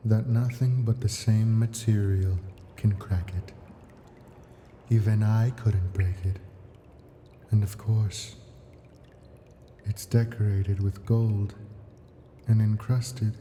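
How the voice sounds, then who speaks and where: A man playing an ancient male dragon in an ASMR roleplay, a possessive, doting captor speaking in a coaxing manner with soft whispers. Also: lowish voice, slight background noise.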